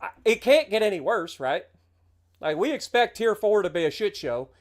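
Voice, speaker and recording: A man's voice: high-pitched, expressive, moderate pace. A man in his late thirties, a game streamer, talking through a heated, sarcastic, heavily profane rant on his livestream. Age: 30s.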